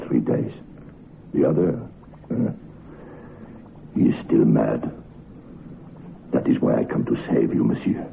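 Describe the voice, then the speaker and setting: A nervous French head waiter. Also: male voice, very deep voice, gravelly, a little hiss or hum, full character voice. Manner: broken English, urgent pleading. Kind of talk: old-time radio drama acting. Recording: tinny phone-like old radio recording.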